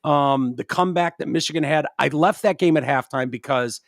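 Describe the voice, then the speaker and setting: Blunt, profane man with a raised pitch. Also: a little fast, vocal fry, no echo, studio quality. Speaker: podcast host. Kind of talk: casual podcast chat.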